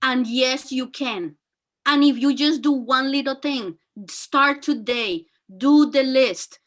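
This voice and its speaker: A woman with a very high, bright and forceful voice talks earnestly, her full of fillers and restarts. She speaks as a teacher and guest, giving motivational advice. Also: takes it slowly. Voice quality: very high-pitched voice. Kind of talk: motivational talk.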